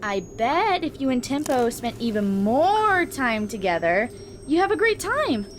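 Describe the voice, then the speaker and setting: A woman voicing a perky, bossy young robot girl who teases and schemes in an animated comic dub. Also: sits high, measured pace, a little hiss or hum. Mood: pushy. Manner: animated, teasing. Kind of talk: cartoonish comic dub acting. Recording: music behind the voice.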